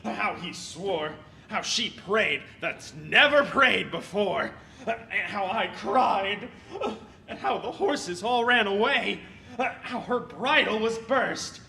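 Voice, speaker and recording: A man playing a garrulous, put-upon comic servant in a Shakespearean audio play, speaking theatrically with halting hesitations. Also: high voice, animated, vocal fry, sharp tone, full character voice. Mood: aggrieved storytelling. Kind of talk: Shakespearean stage-style audio play acting.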